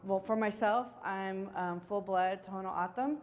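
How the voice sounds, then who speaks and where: A woman's voice: medium pitch, steady tone, moderate pace, long reverb tail. A woman in a panel discussion, hesitantly telling a personal story with frequent ums. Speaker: panel participant.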